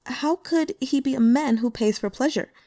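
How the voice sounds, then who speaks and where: A woman's voice: sits high, moderate pace, dry recording, clean studio sound, voice pushed up, lightly acted. A woman doing a coy, scheming young woman. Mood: pleading. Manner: soft, demure and sweetly pleading.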